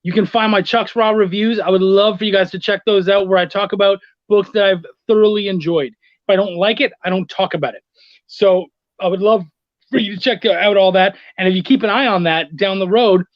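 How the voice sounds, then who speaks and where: A man with a notably high-pitched voice chats casually as a guest. He speaks in an informal, slangy way. The recording is dry and somewhat dull, like lo-fi call audio. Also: steady tone, fairly quick, no room sound. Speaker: guest, comics writer and editor. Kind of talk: casual video chat.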